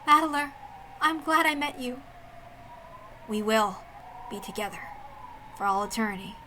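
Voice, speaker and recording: A woman voicing a calm young man, a noble and tender duelist, solemn and gentle, in a visual novel reading. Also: fairly high pitch, unhurried, noisy background, voice pushed down.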